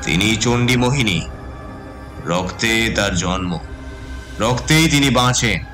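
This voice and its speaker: A possessed temple priest, played by a man speaks in horror audio drama style. The voice is low and heavy, solemn and eerily calm, over faint music. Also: slow, exaggerated voice. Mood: solemn, ominous. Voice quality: heavy, grave masculine voice. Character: possessed temple priest, devoted servant of blood goddess.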